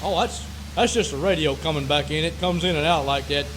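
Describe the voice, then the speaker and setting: Folksy Southern male driver, played by a man, rambling and oblivious, quick high delivery for radio comedy. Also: background noise, natural voice. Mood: chatty, folksy. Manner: rambling, stammering, cheerfully oblivious. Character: talkative, folksy country pickup driver.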